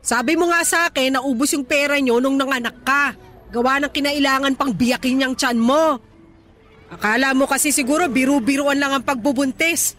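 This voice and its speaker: Radio-drama role: sharp, high, bright-voiced nagging mother, bitter and reproachful. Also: moderate pace. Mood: scolding. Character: scolding poor mother, middle-aged, bitter and nagging. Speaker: actor.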